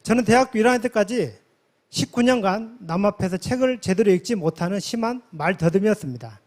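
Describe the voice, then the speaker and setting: Korean guy in his 40s, pretty high voice, giving a church-type testimony speech, formal and deliberate. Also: expressive, a little mellow. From South Korea, from a village near Yeosu. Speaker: conference member giving a testimony.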